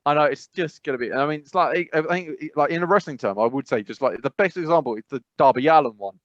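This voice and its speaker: Dull lo-fi recording, higher-pitched man. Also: quick pace, no room sound. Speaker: podcast co-host. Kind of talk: casual chat.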